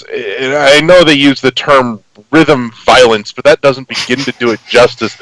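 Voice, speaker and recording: A man's voice: mid-range voice, a bit bright, dry recording, rough recording. Man in casual, colorful game chat. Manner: profane, hyperbolic description. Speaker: podcast guest and game reviewer. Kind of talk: casual chat about video games.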